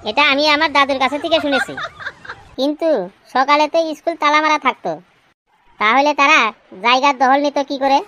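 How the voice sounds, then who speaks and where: A woman's voice: high voice, steady tone, fairly quick, pauses often, bright, forceful tone, a little hiss or hum. A woman voicing cheeky schoolboys in a comedy cartoon, complaining and pleading in an exaggerated way. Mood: curious, teasing. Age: child figure. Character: cheeky village schoolboys grumbling and questioning teacher.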